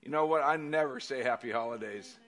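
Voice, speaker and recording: An older man giving a sermon, telling stories loudly and animatedly with casual asides. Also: mid-range voice, brisk. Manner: animated, loud storytelling with asides. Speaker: pastor.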